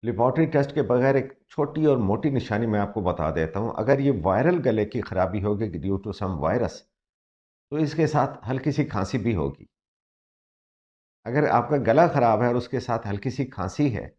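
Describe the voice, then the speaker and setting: A man's voice: fairly low pitch, darkish tone. A male doctor with a slightly sore throat gives earnest, explanatory health advice, dropping into English for emphasis. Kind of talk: health advice talk to camera. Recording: muffled lo-fi recording.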